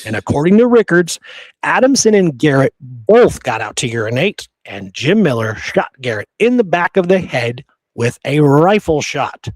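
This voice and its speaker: A man's voice: on the high side, very animated, normal speed, hardly any pauses, no echo, rough recording. A man hosting a podcast, discussing history in a casual, conversational way with blunt, opinionated remarks. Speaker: podcast host.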